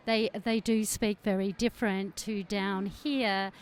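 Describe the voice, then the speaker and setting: A woman's voice: medium pitch, steady tone, a little slow. An Australian woman, a travel journalist, chats as a guest in a radio interview. She rambles with plenty of fillers and restarts. There's a faint hiss of background noise on the recording.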